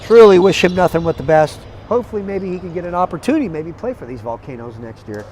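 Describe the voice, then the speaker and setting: A hoarse man calling a live basketball game play by play, energetic and chatty, climbing into excited calls. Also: sits high, fast, slight background noise. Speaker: play-by-play commentator. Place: arena broadcast position.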